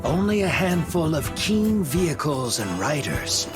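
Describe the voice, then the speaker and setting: Male game narrator, grave and ominous, unhurried delivery with dramatic pitch movement, music underneath. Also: big pitch swings. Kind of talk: scripted dramatic storytelling narration for a video game. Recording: music behind the voice. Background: prominent music.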